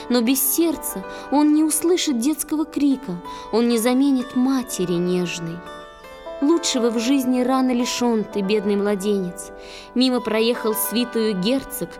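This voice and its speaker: A woman performing in a children's audio play, reciting verse lyrically and expressively with drawn-out phrases. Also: fairly high pitch, natural voice.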